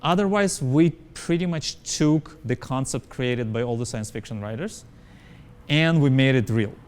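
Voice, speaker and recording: A man's voice: mid-range voice, moderate pace, a little mellow, clear, resonant tone. A man who speaks English as a second language, answering interview questions as a company founder in an emphatic way, repeating his points and checking with "right?". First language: not English.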